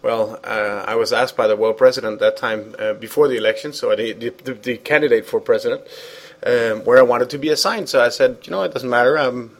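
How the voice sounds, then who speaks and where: A man's voice: medium pitch, fairly quick. A man whose first language is Danish, from Denmark, speaking English as an interview guest, hesitant with many fillers and restarts. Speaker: guest, former international organization president. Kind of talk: conversational interview.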